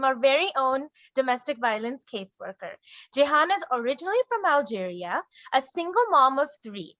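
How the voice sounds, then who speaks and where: Woman moderator, high and dry, slightly dull call audio; formal introductions. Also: a bit bright, no echo. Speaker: moderator and case management director. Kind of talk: online panel moderation.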